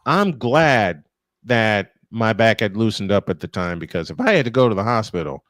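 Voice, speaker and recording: Animated male baseball announcer, dry sound. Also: lowish voice, moderate pace, dry recording. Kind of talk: live baseball commentary mixed with casual chat.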